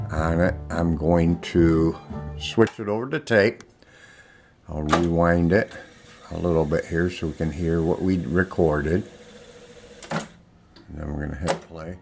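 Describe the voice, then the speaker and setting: Deep-voiced man casually demonstrating an item. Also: fairly even intonation, creaky. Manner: plainspoken, unscripted, halting. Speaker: online seller demonstrating an item. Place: indoors, room in a home. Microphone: handheld camera, speaker off screen behind it. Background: music playing from audio equipment.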